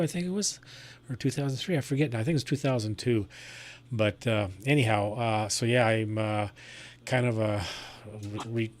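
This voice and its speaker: A man's voice: mid-range voice, fairly bright tone. A man chatting casually as an amateur radio operator. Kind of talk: casual ham radio chat.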